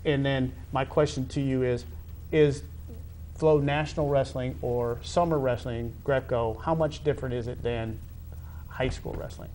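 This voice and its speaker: A man's voice: mid-range voice, steady tone, unhurried, dark tone, a little hiss or hum. A middle-aged American man hosting a conversational sports interview, speaking emphatically and putting questions to his guest. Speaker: talk show host, high school athletic director.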